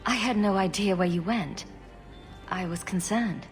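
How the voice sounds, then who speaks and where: Woman playing a gentle, composed mage heroine. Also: medium pitch, unhurried, faint music behind, lightly acted. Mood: concerned. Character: composed, caring female mage adventurer, the heroine. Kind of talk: dramatic video game acting.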